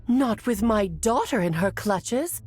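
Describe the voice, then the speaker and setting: A woman playing a playful, mischievous mage mother in a video game, airy, theatrical and teasing. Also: on the high side.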